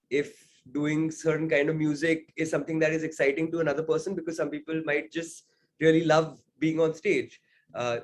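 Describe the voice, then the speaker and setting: A young man from India talks through his choices in an interview, and his voice sits at a middle pitch. He pauses with "uh" and "um" and keeps checking in with "right?". The delivery is reflective and unhurried. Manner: thoughtful, many fillers and "right?" tags. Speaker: singer-songwriter guest.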